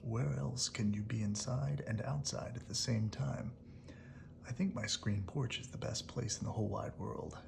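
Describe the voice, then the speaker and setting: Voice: medium pitch, normal speed, gravelly, background noise. A storyteller voicing an old male wolf from a picture book, homesick, whiny and prone to tantrums, often half-whispered. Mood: wistful. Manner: pleading and plaintive.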